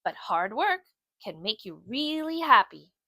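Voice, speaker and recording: A sweet and industrious girl bulldog from a storybook, voiced by a woman reader. She speaks earnestly in a fairly high, very lively voice, stressing her words with determination. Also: a little slow, no echo, exaggerated voice. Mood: determined. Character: sweet, smart, busy, determined female bulldog. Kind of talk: children's storybook character voice.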